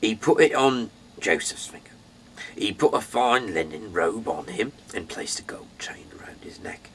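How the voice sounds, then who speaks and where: Very deep-voiced man reading aloud theatrically. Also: animated, whispered. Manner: theatrical, halting, swinging between emphatic bursts and breathy hushed asides. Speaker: Bible reader, amateur narrator. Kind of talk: dramatic, off-the-cuff reading aloud of scripture.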